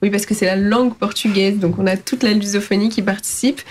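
A woman's voice: mid-range voice, steady tone, fairly quick, darkish tone, slight background noise. A woman hosting a radio show, chatting and reading out a schedule of events with small fillers. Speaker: radio host.

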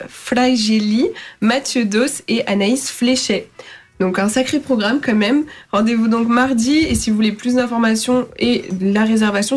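A woman hosting a radio show, her voice sitting a touch high. She talks through a schedule of events in a chatty way, with small fillers along the way. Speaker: radio host.

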